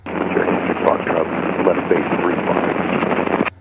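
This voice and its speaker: A man's voice: low voice, monotone, slow, creaky. A man pilot giving terse position reports over aviation radio. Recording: tinny, very noisy aviation radio.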